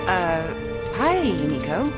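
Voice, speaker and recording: An actress playing an earnest, nervous young superheroine in a video game cutscene, hesitant and awkward when trying to bluff. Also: female voice, on the low side, big pitch swings, slow pace, over loud music. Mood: flustered.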